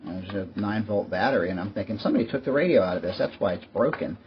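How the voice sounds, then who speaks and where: Man with a lowish voice, muffled noisy recording. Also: lively intonation, quick pace. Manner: rambling, wry, resigned. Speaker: YouTube host. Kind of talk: casual product show-and-tell vlog. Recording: muffled lo-fi mic with clear background noise.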